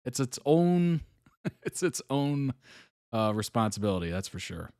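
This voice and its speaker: A man's voice: medium pitch, big pitch swings, unhurried, darkish tone, no echo, clean studio sound. A young adult man hosting a live reaction stream, chatting casually and musing aloud with fillers and small chuckles. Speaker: reaction video host.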